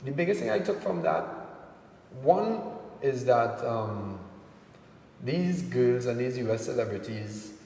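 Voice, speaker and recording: A man from Trinidad and Tobago with a Trinidadian accent, chatting casually as an interview guest, relaxed and quick to laugh. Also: mid-range voice. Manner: relaxed, laughs easily, slows down to speak carefully. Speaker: entertainer guest. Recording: echoey room with long reverb, faint noise.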